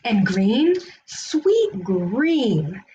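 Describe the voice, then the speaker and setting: Woman acting friendly storybook man, folksy, easygoing, lively swooping pitch, faint background noise. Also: drawn-out words, dull audio. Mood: dreamy, savoring. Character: friendly, playful blind man who loves colors.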